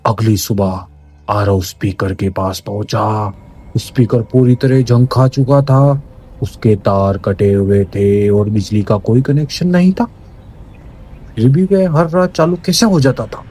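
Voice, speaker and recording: A man's voice: on the low side, a little slow, mellow, breathy edge. A man giving dramatic, scripted horror narration in an ominous, suspenseful manner, with music behind.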